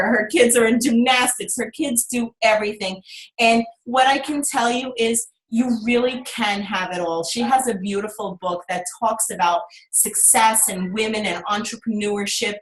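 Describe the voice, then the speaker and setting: A woman's voice: on the high side, fairly bright tone, reverberant, rough recording. A woman hosting a conference call, earnestly and effusively introducing a guest. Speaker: call host. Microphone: phone or computer on a conference call.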